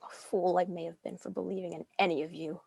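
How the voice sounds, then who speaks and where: A woman's voice: fairly low pitch, level tone, fast, gravelly, a little mellow, husky, dry recording. A woman playing a grieving, defiant widow bent on revenge in a dramatic script reading. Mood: bitter.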